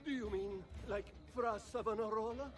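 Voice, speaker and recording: An actor playing a timid, nervous monk in a video game cutscene. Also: male voice, high voice, normal speed, soft background music, lightly acted. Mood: nervous, hesitant. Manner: nervous, fretful. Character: timid, easily terrified Italian monk.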